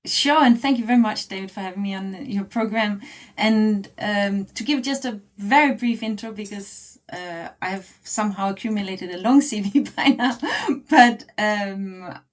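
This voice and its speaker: Woman guest on a webinar, calm and fluent, some ums, ordinary pitch. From Denmark. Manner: measured, fluent, occasional fillers and light laughs. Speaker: guest entrepreneur. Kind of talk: interview answer.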